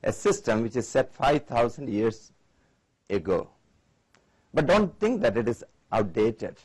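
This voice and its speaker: Man, Indian accent, 40s, conference lecturer, lively, talks to the audience. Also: mid-range voice, a little slow, breathy edge. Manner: lively, engaging the audience with questions. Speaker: Ayurvedic doctor, guest lecturer.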